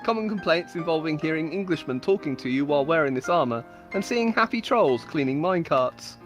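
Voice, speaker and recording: A woman's voice: sits low, expressive, a little fast, soft background music. A woman storyteller giving a dramatic, mock-epic reading of comic fantasy stories, with amused asides. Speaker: storyteller on a game server.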